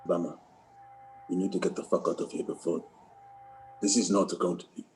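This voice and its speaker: A man playing a gruff, defiant soldier in a screenplay table read, speaking curtly and with profanity. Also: deep voice, level tone, moderate pace, darkish tone, faint music behind. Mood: tense. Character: gruff, defiant male chief warrant officer on a jungle mission.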